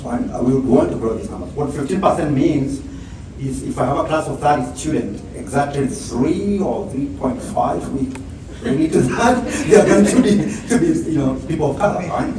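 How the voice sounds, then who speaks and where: A middle-aged man with a Kenyan accent lays out numbers and ideas, checking in with a rhetorical "right?". His voice is distant and swims in a very echoey hall, with crowd noise underneath. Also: mid-range voice. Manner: explanatory, asks rhetorical "right?". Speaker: computer science professor. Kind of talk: informal talk at a networking event. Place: large indoor event space. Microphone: handheld microphone, heard from far off.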